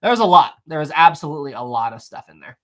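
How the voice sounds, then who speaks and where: A man hosting a casual live stream, answering viewer messages in an animated, rambling way with frequent fillers, restarts and laughs. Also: on the high side, quick pace. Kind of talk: casual live-stream chat reading super chats.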